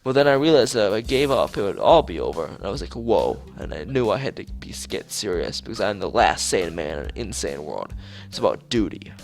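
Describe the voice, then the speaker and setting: A man's voice: fairly low pitch, sing-song, a little fast, gravelly, soft background music. A man voicing a paranoid young male conspiracy theorist in a visual novel, ranting with urgent, pleading melodrama. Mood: grandly dramatic. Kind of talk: reading visual novel dialogue aloud.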